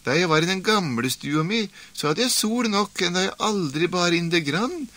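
A man doing a high fretful old woman. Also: lively intonation, bright, forceful tone. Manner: puzzled, fretful rambling. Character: confused old woman carrying sunlight in a sieve.

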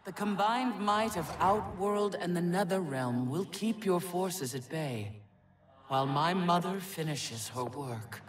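An actor playing a solemn, imperious dark god in a video game, speaking formally and pompously. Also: male voice, high-pitched, takes it slowly, far from the mic, music in the background. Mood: cold, imperious. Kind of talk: dramatic video game cutscene acting.

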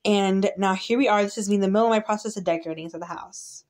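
A woman YouTuber talks through her game build in a quick, casual stream of chat. She rambles and often backs up to restart her sentences. She records it on a phone aimed at a tablet screen. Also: mid-range voice, a little mellow. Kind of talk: casual game walkthrough narration. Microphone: phone filming a tablet screen.

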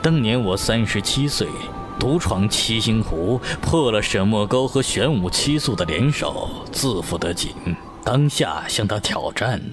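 A man plays an urbane, middle-aged sword master in a Chinese wuxia radio play. His voice is low and swings expressively, with an avuncular, measured delivery over music. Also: animated. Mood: reflective. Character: seasoned middle-aged male martial-arts master, urbane and self-reproaching. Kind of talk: Chinese wuxia audio drama acting.

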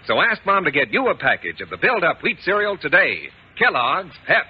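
Animated male 1950s radio ad announcer. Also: on the high side, moderate pace. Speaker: sponsor announcer. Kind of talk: 1950s radio cereal advertising. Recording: muffled, very noisy 1950s radio.

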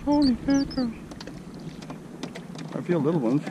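A man's voice: very high voice, very animated, unhurried, dull audio. A man filming himself fishing from a small boat outdoors, giving playful, exclaiming commentary and humming to himself. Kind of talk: casual running commentary. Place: small motorboat on a lake, outdoors. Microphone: action camera on the boat. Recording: loud wind buffeting the mic. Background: wind and boat noise.

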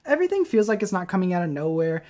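Man, quick pace, informal fan commentary, video host. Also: sits high, big pitch swings, a little mellow.

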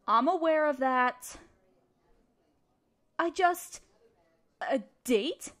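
Girl acting as a jealous barista lady in an audio drama, high voice, slow halting delivery, defensive and kinda resigned. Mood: frustrated, hurt. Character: guarded, jealous, resigned female barista running a cafe. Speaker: amateur audio roleplay voice performer. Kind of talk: audio roleplay acting, one side of a dialogue.

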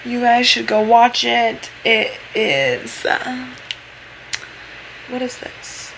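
A young woman with an American accent chatting casually and excitably to a vlog camera held at arm's length. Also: a little high, very slow, vocal fry. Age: teens to young adult. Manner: bubbly, giggly, exclamatory. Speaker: vlogger, high school student. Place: car, classroom, gym, bedroom. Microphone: handheld camera at arm's length. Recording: handheld vlog audio, chatter and background noise.

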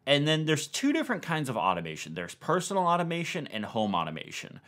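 Man with lively, varied pitch. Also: fast. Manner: casual, step-by-step explaining. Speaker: tech video host. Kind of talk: explanatory tutorial narration to camera.

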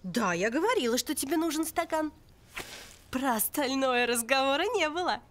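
A woman voicing a sassy female genie in an animated film, teasing and theatrical, lecturing like a teacher. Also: high-pitched, normal speed, slight background noise. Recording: loud music behind the voice.

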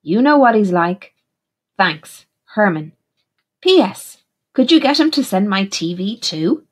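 Woman reading as an eager, lively storybook bear. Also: mid-range voice, a little slow, husky. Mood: friendly, eager. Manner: bright-spirited, eager, polite. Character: cheerful, enthusiastic male bear writing postcards.

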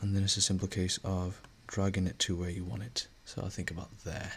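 A male teacher narrating a screen-recorded lesson, a little hoarse as he is losing his voice, talking through each step with fillers and restarts. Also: low voice, a little fast. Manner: relaxed, thinking aloud with fillers and restarts.

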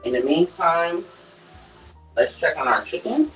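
A man's voice: fairly high pitch, big pitch swings, unhurried, a bit bright, soft background music. A young man talking casually through a home cooking demonstration, often saying "okay" and "guys" to his viewers. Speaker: cooking vlogger. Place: small home kitchen, indoors. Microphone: camera set on the counter, away from him. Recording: echoey kitchen, voice from a distance.

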